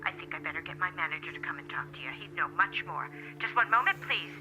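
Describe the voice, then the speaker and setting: An actress playing a chirpy, easily flustered receptionist with a Midwestern American accent and a folksy, chatty manner in an audio drama. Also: female voice, fairly low pitch, animated, fast talker, music in the background, close to an everyday voice. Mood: wary, flustered.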